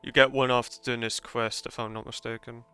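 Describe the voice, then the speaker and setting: A man's voice: medium pitch, little pitch movement, a little fast, bright, forceful tone, clean tone. A man giving casual reaction commentary as a game streamer. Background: game music and dialogue.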